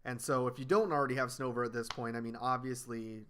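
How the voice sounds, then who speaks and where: A man hosting a casual podcast chat, explaining things in a steady way and listing them off one by one. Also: medium pitch, quick pace, slight background noise. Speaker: podcast host.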